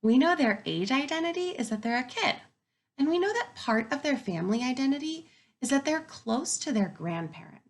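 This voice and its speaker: A woman kindergarten teacher reading a picture book aloud to young children in an expressive way, pausing to wonder aloud. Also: high voice.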